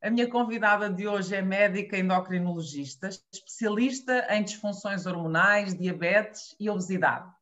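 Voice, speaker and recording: A Portuguese woman interviewer, speaking at a mid-range pitch with a polite, formal manner. Her voice comes through a slightly dull, lo-fi remote connection. She gives the guest generous praise. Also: moderate pace, hardly any pauses, a bit bright. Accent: European Portuguese. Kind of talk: video call interview.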